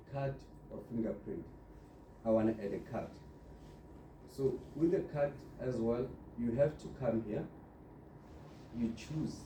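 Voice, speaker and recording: A man giving an informal step-by-step software tutorial, instructing and often checking in with the listener. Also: mid-range voice. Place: indoor room, filming a computer monitor. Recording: roomy echo, distant mic, clear background noise.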